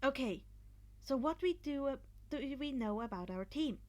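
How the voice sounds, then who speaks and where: A woman's voice: high voice, expressive, normal speed, a little mellow. A woman voicing an earnest, upbeat teenage boy who plans carefully, in an audiobook of fan fiction. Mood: businesslike. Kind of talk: acted fan fiction dialogue.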